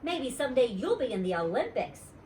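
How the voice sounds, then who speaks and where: A woman's voice: on the high side, sing-song, a little fast, darkish tone, voice pushed up. A woman voicing an impressed, admiring young schoolboy in a storybook. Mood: amazed. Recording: roomy, distant mic, faint noise.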